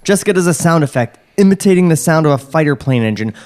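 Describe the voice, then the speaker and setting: A man's voice: on the high side, expressive, quick pace, a little mellow, slight background noise. A man reading screenplay stage directions on a comedy podcast, breaking into casual banter. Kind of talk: comedic table read, narration with casual banter. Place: podcast studio.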